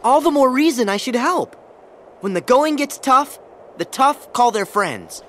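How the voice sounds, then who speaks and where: Eager, lively teenage boy hero. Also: extremely high pitch, measured pace, slight background noise, heavily acted. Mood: friendly, eager. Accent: American. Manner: earnest, youthful. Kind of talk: animated game character acting.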